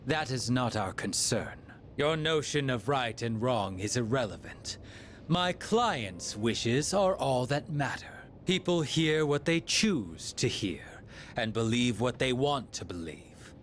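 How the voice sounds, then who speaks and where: A languid, pompous villain for a video game. He speaks slowly and formally with lively, swooping pitch and cold menace, over faint ambient noise. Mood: cold detachment. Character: theatrical, coldly courteous male villain, languid and sadistic.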